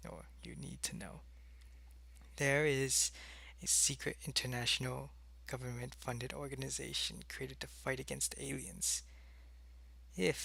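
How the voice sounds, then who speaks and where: A man voicing an earnest, devoted alien spy in an ASMR audio roleplay, speaking in a measured, halting way. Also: medium pitch, creaky, fairly bright tone. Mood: cautious, informative.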